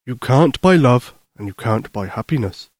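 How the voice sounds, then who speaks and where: A man voicing a jolly, kindly old Santa Claus in a bedtime story, slow, gentle and reassuring. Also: mid-range voice, lively intonation, dry recording, clean studio sound, close to an everyday voice. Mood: gentle, confiding.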